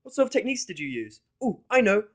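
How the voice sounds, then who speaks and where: An eager female unicorn scholar as a man puts her on for a cartoon pony story. She lectures with bookish curiosity in a raised, excitable voice. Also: high-pitched, fast, slightly rough, pitched above the performer's own voice, full character voice. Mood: excited.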